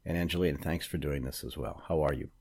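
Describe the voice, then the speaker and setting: Middle-aged male podcast host, chatty, very deep, husky voice. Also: quick pace, a little mellow. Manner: chatty, friendly, asks long questions. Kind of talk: casual interview.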